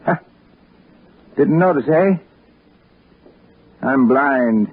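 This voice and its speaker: An actor playing a grizzled, blind old American local, folksy and foreboding, in a 1960s radio drama. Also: male voice, medium pitch, animated, extremely slow. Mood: folksy warning. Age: older. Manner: folksy, drawling, hesitant warnings. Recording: muffled vintage radio recording, faint noise.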